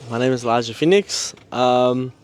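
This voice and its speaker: A laid-back man from South Africa who talks hesitantly, with a middle-pitched voice and lots of pauses to think. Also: darkish tone, smooth. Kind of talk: casual chat.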